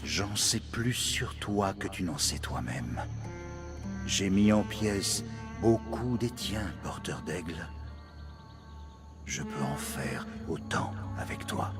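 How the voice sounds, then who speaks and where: An actor playing a menacing fanatical hunter in a video game, slow and taunting, with gravelly, breathy half-whispers. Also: male voice, sits low, expressive, over music, exaggerated voice. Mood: scornful, threatening. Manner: slow, taunting, ominous pronouncements. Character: menacing male hunter, zealous fanatic stalking the impure. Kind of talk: dramatic video game acting.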